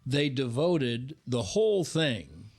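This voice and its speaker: A man chatting casually in a conversational storytelling way, often halting and repeating himself as a guest on a talk show. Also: mid-range voice, sing-song, a little mellow.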